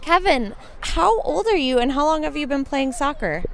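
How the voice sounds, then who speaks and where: High-voiced woman interviewing outdoors. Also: expressive, normal speed, vocal fry, fairly bright tone, a little hiss or hum. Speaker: radio producer interviewing. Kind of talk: field interview. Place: outdoor soccer practice.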